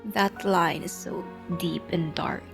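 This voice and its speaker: A woman game streamer giving casual reactions in soft, breathy asides, sometimes drawing out her words. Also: fairly low pitch, fairly even intonation, slow pace. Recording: game music behind voice. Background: game music.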